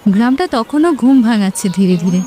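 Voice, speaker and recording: A woman's voice: on the high side, animated, brisk, warm tone, soft background music. A woman narrator reading a scripted romantic story.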